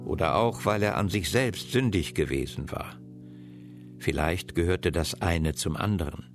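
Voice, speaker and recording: A man reading a literary excerpt aloud in a grave, even way. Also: low voice, measured pace. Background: guitar music.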